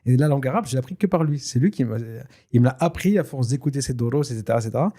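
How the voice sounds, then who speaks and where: A man whose first language is Tashelhit, telling a personal story in a casual, lively way with many fillers and restarts. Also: mid-range voice, racing. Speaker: guest telling his story. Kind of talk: casual spoken testimony.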